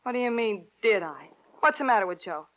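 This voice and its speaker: A woman's voice: mid-range voice, expressive, brisk, lightly acted. Actress as a bitter, guarded young woman. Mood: guarded, sardonic. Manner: tough, guarded, challenging. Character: bitter, wary, sardonic young working-class woman. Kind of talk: 1940s-50s radio crime drama acting. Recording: muffled old radio recording.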